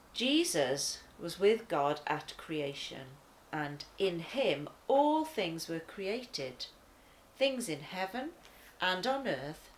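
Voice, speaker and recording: A woman reading a children's devotional aloud in a deliberate, expressive manner. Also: on the low side, slight background noise, low-quality recording. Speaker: children's church story reader.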